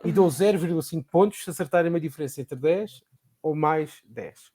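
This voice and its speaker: A man's voice: a little high, fairly quick, warm tone, husky. A man hosting a casual quiz game among friends, explaining the rules with hesitant restarts. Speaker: quiz host.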